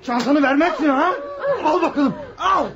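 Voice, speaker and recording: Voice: high-pitched, normal speed, a crowd in the background, natural voice. An actor playing a violent, menacing mugger with a harsh voice in a radio play. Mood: violent rage. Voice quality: hard, harsh voice. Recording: muffled radio drama, busy background.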